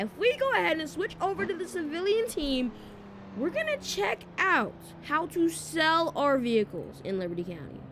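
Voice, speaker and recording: A woman's voice: sits high, slight background noise. A woman giving casual, informal gameplay commentary, talking directly to viewers as she walks through features. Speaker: video game streamer and presenter.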